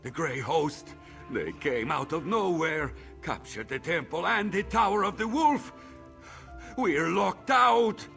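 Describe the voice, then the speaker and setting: A man's voice: fairly high pitch, expressive, takes it slowly, creaky, pushed and bright, soft background music, exaggerated voice. A man playing a frightened soldier in a fantasy video game, reporting in an urgent, dramatic way. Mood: panicked.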